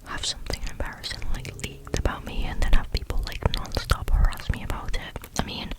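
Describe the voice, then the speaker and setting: A person speaking entirely in a breathy, unvoiced whisper, reading stories aloud in a casual, conversational ASMR style. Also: a little fast. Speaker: host reading listeners' or online stories aloud.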